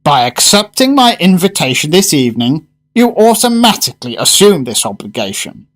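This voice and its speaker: A man's voice: fairly high pitch, big pitch swings, normal speed, a bit bright. Radio play male actor: eccentric solitary gourmand, formal and florid, grave yet widely swooping intonation. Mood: solemn. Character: solemn, eccentric solitary gourmet, devoted restaurant regular. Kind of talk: radio drama acting.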